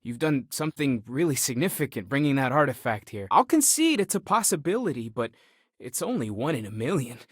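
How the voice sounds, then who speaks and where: Young male space explorer, game character: sincere, softly questioning seeker, dry studio-close sound. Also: medium pitch, sing-song, a little fast, slightly rough, dry recording. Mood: earnest, wondering. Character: earnest, curious, spiritually searching young male space explorer. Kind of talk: video game voice acting.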